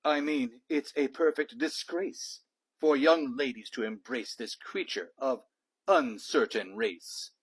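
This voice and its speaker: A man's voice: mid-range voice, takes it slowly, breathy edge. A man voicing a pompous, outraged lord who presides over a school board in a storybook, haughty and exclaiming. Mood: indignant, shouting.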